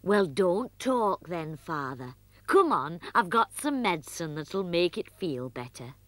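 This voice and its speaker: A woman's voice: lowish voice, sing-song, a little slow, a bit bright, no echo, voice pushed up. A woman voicing a patient, motherly puppet mum in a children's show. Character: motherly furry puppet mum, busy tidying.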